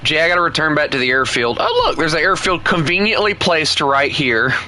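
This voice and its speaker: Guy streaming a plane game w his buddy, bright crisp voice, teasing and goofing around. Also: on the high side, very animated, fairly quick, a little hiss or hum. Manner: playful, teasing, jokey. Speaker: gaming streamer. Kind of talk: casual gameplay chat with a friend.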